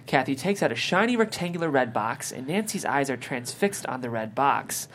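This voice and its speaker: A woman's voice: a bass voice, sing-song, moderate pace. A woman reading scripted stage directions as narrator of a table read.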